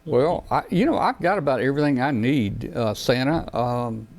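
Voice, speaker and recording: A man's voice: mid-range voice, expressive, normal speed, darkish tone. A man hosting a live hobby show, upbeat and joking in casual chat. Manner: enthusiastic, joking. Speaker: show host.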